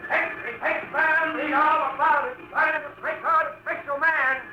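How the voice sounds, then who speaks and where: A newsboy hawking papers in an old radio play. The cry is extremely high and echoes over faint music. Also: male voice, steady tone, unhurried. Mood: urgent hawking. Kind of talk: 1940s radio acting. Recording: muffled, echoing old radio recording.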